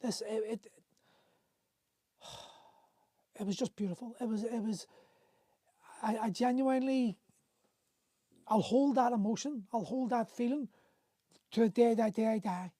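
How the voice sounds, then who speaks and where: A man's voice: very high voice, measured pace, pauses often, mellow, no room sound. A middle-aged man hosting a livestream, telling a personal story candidly and emotionally, swearing freely and often stopping to restart his sentences. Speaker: host. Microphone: phone on a livestream.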